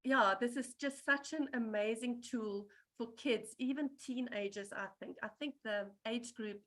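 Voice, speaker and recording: A woman speaking at a book launch. Her voice sits a little high and rings bright. She reads from notes in a chatty, scattered way, with plenty of ums. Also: measured pace. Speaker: book designer and translator. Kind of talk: reading prepared remarks at a live event.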